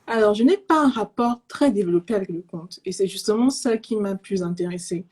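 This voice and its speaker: A woman from Cameroon, raised in Zimbabwe in an English-speaking home, speaking softly and hesitantly with many fillers as an interview guest over a call microphone. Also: medium pitch, fairly quick, husky. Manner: soft-spoken, hesitant with many fillers and restarts. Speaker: guest, pastry entrepreneur. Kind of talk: casual online interview. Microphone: remote call microphone.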